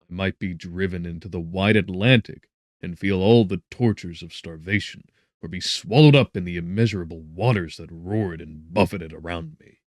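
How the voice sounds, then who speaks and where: A man with a very deep voice narrates a gothic novel. His delivery is solemn and theatrical, rising and sinking in volume. The sound is dry, with hardly any room around it. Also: moderate pace, no echo. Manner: theatrical, solemn, with strong swells and drops in volume. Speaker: audiobook narrator.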